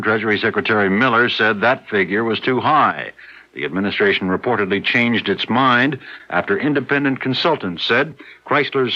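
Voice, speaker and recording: Mid-pitched American male radio newsreader. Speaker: network radio news reporter. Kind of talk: scripted news bulletin. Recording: dull vintage radio broadcast.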